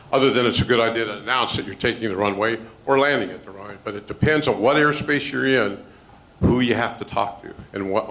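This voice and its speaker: A man's voice: fairly low pitch, fairly bright tone, poor audio. An American man acting as a knowledgeable co-presenter, politely and methodically explaining to listeners in an informal talk.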